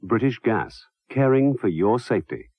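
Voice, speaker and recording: Animated male announcer, very dry sound. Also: mid-range voice, moderate pace, breathy edge, no room sound, highs cut off. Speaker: advert announcer. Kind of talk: scripted warning voice-over.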